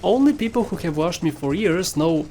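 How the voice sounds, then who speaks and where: A man's voice: high-pitched, animated, moderate pace, a little mellow, a little hiss or hum. A man with a Bulgarian accent giving casual, playful gameplay commentary, chatting to viewers and often laughing at himself. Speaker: gaming YouTuber.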